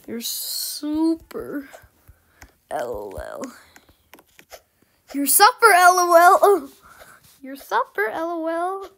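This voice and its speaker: Female gaming host, high voice, slow unhurried delivery, playful and whispery. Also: a bit bright. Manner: playful, often drops into whispers and sing-song teasing. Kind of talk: casual gameplay commentary with a friend.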